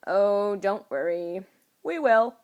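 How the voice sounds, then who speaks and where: A woman's voice: mid-range voice, animated, slow pace, darkish tone. Woman as a flippant, curt playboy genius. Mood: dry, curt. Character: flippant, stung adult male genius playboy.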